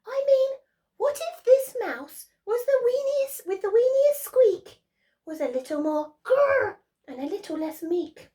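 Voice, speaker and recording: Storybook mouse, small and meek, stammering and squeaky, played by a woman well above her own voice. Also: lively intonation, unhurried, mellow. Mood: eager. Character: tiny timid male mouse, eager and hopeful.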